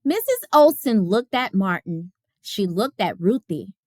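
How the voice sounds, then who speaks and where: A woman reading a children's picture book aloud as a storyteller, with expressive, careful phrasing. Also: mid-range voice, measured pace, dry recording, clean studio sound.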